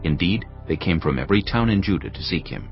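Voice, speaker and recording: A male narrator with a very deep voice that moves expressively through its range. He reads scripture in a measured, storytelling manner. The recording sounds slightly muffled, with faint music underneath. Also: animated.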